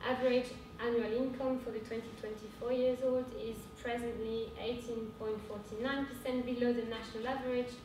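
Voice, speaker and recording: A young woman with a French accent giving an earnest conference talk, pausing often with fillers. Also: level tone. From France. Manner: earnest, hesitant with frequent fillers. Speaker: cooperative speaker. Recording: echoey hall from far off with rumble.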